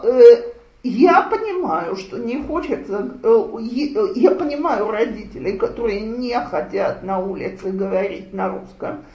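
Slow, measured woman lecturer. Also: sits high, a little mellow. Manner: slow, measured, with frequent thinking pauses. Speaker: lecturer on child rearing. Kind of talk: lecture with audience discussion. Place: lecture room with audience. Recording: roomy, muffled lecture recording.

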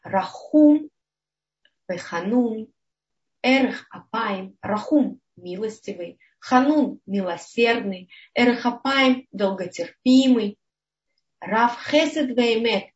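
A woman's voice: on the high side, lively intonation, slow pace, lo-fi sound. A woman teaching a religious lecture over slides, explaining ideas step by step and often checking in with her listeners.

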